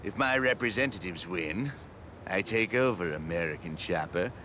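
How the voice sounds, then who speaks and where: A man's voice: low-pitched, expressive, moderate pace, background noise. A man playing a pompous, theatrical, scheming rich businessman villain in a video game cutscene, with grandiose, mannered phrasing. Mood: smug, scheming.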